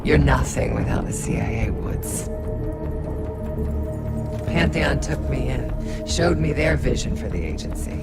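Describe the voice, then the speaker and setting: A cold, zealous rogue spy. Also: female voice, extremely low pitch, a little slow, gravelly, long pauses, loud music behind the voice, exaggerated voice. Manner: calm, contemptuous, lecturing. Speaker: rogue intelligence agent villain. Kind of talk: video game cutscene acting.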